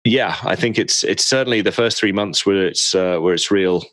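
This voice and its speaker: A man guesting on a gaming podcast, talking haltingly with constant "uh"s and restarts. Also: low-pitched, fairly bright tone, no echo. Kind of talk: casual gaming podcast chat.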